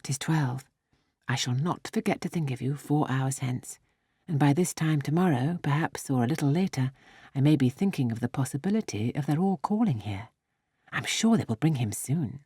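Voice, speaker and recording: Audiobook role: bright young lady, voiced by a female narrator, affectionate, thinking aloud, dry sound. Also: very deep voice, animated, moderate pace, slightly rough, no echo, exaggerated voice. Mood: fond, amused. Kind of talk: audiobook character soliloquy.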